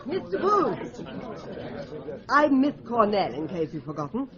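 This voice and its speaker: Brisk secretary, animated intonation. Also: female voice, fairly high pitch, dull audio, over a noisy crowd, heavy background noise. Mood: brisk, wry. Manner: brisk, dry. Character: brisk, dryly witty female senior secretary. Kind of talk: British radio drama dialogue.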